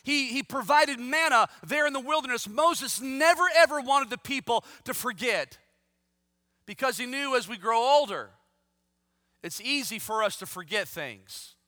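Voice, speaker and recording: A man's voice: very high-pitched, expressive, normal speed, sharp tone, no room sound, clean studio sound, long reverb tail. A man in his fifties preaching a sermon, reading scripture aloud and then exhorting his listeners with rising, emphatic force. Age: 50s. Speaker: pastor.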